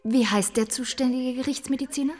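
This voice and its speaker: An actress playing a brisk, probing journalist in a radio drama, direct and questioning with wry confidence. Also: female voice, high-pitched, darkish tone, soft background music.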